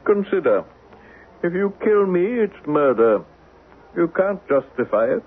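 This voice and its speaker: An actor in an old-time radio drama playing a taunting alien schemer posing as a human, mocking and defiant. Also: male voice, high-pitched, sing-song, measured pace, breathy edge, narrow, tinny audio, heavily acted. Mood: arguing, pressing. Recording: thin band-limited radio broadcast recording.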